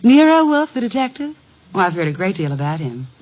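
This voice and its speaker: An actress playing a charming, poised private secretary in an old radio drama, polite and a little gushing. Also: female voice, mid-range voice, sing-song, fairly quick. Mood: charmed, polite. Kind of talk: old-time American radio drama acting. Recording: muffled, slightly noisy vintage radio recording.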